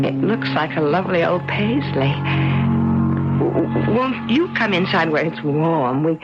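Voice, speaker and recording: Nervous polite mom in an old radio play, lively pitch, muffled, music behind. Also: female voice, slow, gravelly, long pauses, exaggerated voice. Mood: polite, uneasy. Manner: hesitant, faltering. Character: anxious, polite young mother, easily unnerved. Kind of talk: old-time radio drama acting.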